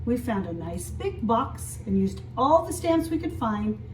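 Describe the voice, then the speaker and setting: A woman reading a picture book aloud as a story time teacher, expressively, pausing to explain and ask young children simple questions. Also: a little high, sing-song. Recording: echoey room, distant mic, faint hiss.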